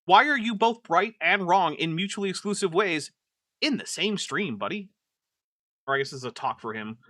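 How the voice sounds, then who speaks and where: Bright, chatty male streamer. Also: sits high, expressive, moderate pace, no echo, studio quality. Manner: chatty and exasperated, many "like" fillers. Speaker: streamer host reacting to a video. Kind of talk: casual live-stream commentary.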